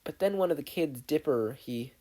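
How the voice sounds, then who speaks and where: Upset teen boy for audio fan fiction, acted by a narrator: gentle, stammering, raised pitch, full of self-doubt. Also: lively intonation, lightly acted, low-quality recording. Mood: distraught. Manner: hesitant, halting, stammering.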